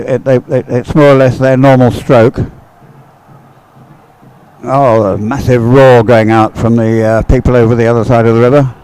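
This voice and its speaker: A man giving live race commentary, explaining things in halting phrases. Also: medium pitch, dark tone, clean tone, low-quality recording.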